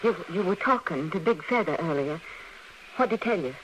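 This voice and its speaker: An actress playing an anxious, guilt-ridden frontier woman in an old radio western, halting and repeating words. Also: lowish voice, expressive, lightly acted. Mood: regretful. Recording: faintly noisy vintage radio recording.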